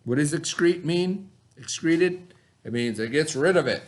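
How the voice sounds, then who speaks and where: A man's voice: on the high side, expressive, unhurried. A middle-aged man reading a lesson aloud to children as their teacher, with simple, instructive explanations.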